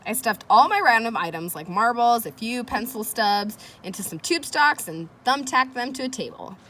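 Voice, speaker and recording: A woman's voice: fairly high pitch, expressive, normal speed, a bit bright. A woman reading a children's chapter book aloud, speaking directly to young listeners in a chatty way. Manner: engaging young listeners with questions and commentary. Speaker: read-aloud host.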